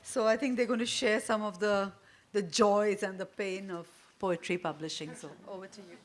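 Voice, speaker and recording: A woman's voice: medium pitch, steady tone, moderate pace. A woman moderating a panel and handing over to speakers in a loose, casual way. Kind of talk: introducing speakers.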